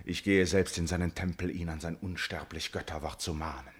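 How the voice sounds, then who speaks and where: Deep, wrathful tragic king. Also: fairly even intonation, brisk, breathy edge. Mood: indignant. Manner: declamatory, solemn, forceful. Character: wrathful, wounded royal father cursing his son. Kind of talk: classical verse tragedy radio play.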